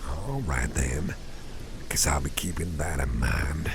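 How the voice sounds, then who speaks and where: A man playing a caring, easygoing boss in an ASMR roleplay, murmuring softly and breathily in a rambling, reassuring way, close to the microphone. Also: rumbling low, expressive, slow pace, gravelly, noisy background, exaggerated voice. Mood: relaxed, musing. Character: caring, easygoing male boss, gently soothing and chatty. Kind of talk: ASMR roleplay, soft personal-attention talk.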